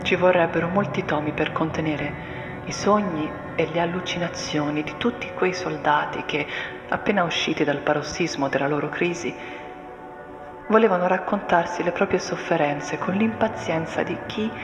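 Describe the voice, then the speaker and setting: A woman performing a solemn, deliberate dramatic monologue of literary text, often sinking into breathy whispers. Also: sits low. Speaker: stage actress performing a monologue. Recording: echoey, long reverb, music underneath. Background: music and soundscape.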